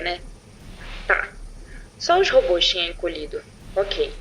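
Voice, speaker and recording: A woman's voice: mid-range voice, a bit bright, a little hiss or hum, lightly acted, poor audio. A Brazilian woman playing a sarcastic, irritable chief space patroller, recording an informal mission log for an audio drama. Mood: irritated, casual. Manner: informal, sardonic, foul-mouthed.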